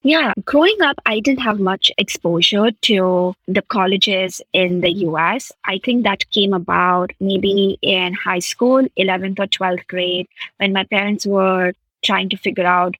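A woman's voice: medium pitch, unhurried, fairly bright tone, no room sound, rough recording. A woman raised in the Middle East, a podcast guest talking earnestly and enthusiastically about her past in long flowing sentences. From India, raised in Qatar. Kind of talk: reflective conversational interview.

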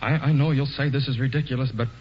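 A man's voice: medium pitch, steady tone, fast talker, lightly acted. An actor playing an earnest, hot-tempered young male hero who stammers a little, on a dull old radio broadcast. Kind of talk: 1940s radio acting.

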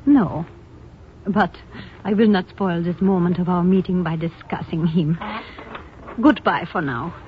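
A woman's voice: fairly low pitch, a little slow, pauses often, lightly acted. A woman playing a seductive, mysterious European femme fatale in a 1950s radio drama, with a Continental accent, formal phrasing and a coolly teasing, forward manner. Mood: coolly confident. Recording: tinny, narrow, hissy old radio recording.

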